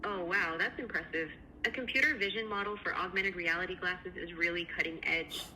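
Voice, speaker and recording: A woman with a very bright, forward voice, conducting a screening interview. She speaks quickly in a polite, polished, affirming way. There is faint noise on the phone call. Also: lowish voice. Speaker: AI recruiter interviewer.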